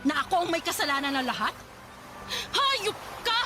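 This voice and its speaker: An actress with a Filipino accent playing a hurt, scornful former mistress in an emphatic, confrontational radio drama style. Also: female voice, very high-pitched, big pitch swings, normal speed, music in the background. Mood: bitter, anguished. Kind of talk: Tagalog radio drama acting.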